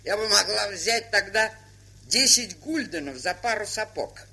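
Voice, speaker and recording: A woman's voice: medium pitch, lively intonation, a little slow, bright, forceful tone, breathy edge, exaggerated voice. An actress playing a shrewd, sardonic older woman camp trader, lecturing with worldly irony in a theatrical stage style. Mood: sympathetic, needling. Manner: worldly-wise, ironic, lecturing. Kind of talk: theatrical stage drama acting. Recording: hissy 1970s studio drama recording.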